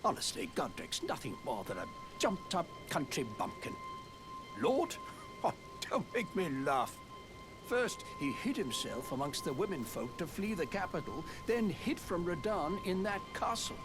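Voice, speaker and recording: A man's voice: a little high, very animated, normal speed. An actor playing a pompous, self-important nobleman in a fantasy game, haughty and theatrical in his delivery. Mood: scornful. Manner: haughty, theatrical, grandiose declamation. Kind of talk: scripted fantasy game acting. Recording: background music under the voice.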